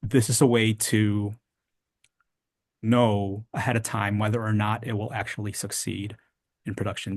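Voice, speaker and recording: Low-pitched male tech instructor, dry sound. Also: no echo. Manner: explanatory, checks understanding with "right?". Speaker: programming instructor. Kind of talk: informal technical explanation in a live class.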